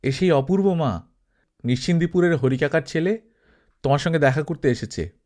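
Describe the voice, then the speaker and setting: A man's voice: on the high side, moderate pace, a little mellow, lightly acted. A man voicing a sleepy, casual young man with an offhand, familiar manner in an audiobook story. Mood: casual, breezy.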